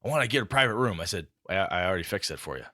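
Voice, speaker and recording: A man with a low-pitched voice co-hosts a gaming podcast and reads listener letters aloud. He talks in a jokey, easygoing way, and the room is dry and close. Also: animated, racing, pushed and bright, no echo. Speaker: podcast co-host. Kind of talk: casual chat, reading listener mail.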